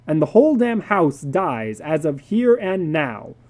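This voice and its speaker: A stern husband and father in a dramatic story, acted out by the narrator. He is exasperated and commanding, hitting words hard. His pitch moves widely over a soft, unsharp tone. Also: very animated, unhurried. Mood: stern, disgusted. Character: fed-up, stern husband and father, adult man.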